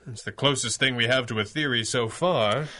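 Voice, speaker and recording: A man's voice: mid-range voice, moderate pace, pushed and bright, natural voice. A man playing a stern, dashing masked crimefighter in 1930s-style radio adventure drama, with clipped, formal, dramatic delivery. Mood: businesslike.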